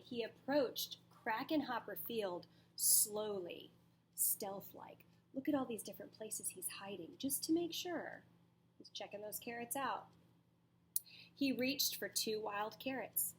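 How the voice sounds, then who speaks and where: A woman's voice: on the high side, a little hiss or hum, rough recording. A woman teacher reading a storybook aloud to children expressively, with dramatic emphasis and asides to her listeners. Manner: dramatic pauses, emphatic, questions to young listeners.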